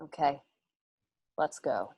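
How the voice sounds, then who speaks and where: A woman's voice: slow, mellow, no room sound, lightly acted. A woman playing a guarded, fierce frontier woman in a Western radio play, with blunt, rustic speech. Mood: resigned. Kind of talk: online radio play acting.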